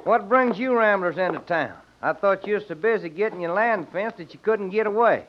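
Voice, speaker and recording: An old radio western, slightly dull in sound. A man acts a smooth-talking land agent with a high voice, genial and evasive in his Western drawl. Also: a little fast, close to an everyday voice. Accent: American Western drawl. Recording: muffled old radio recording.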